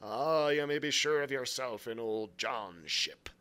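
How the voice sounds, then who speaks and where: A man voicing a cunning old male pirate quartermaster in a loud, rolling pirate drawl. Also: medium pitch, expressive, a little slow. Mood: boastful.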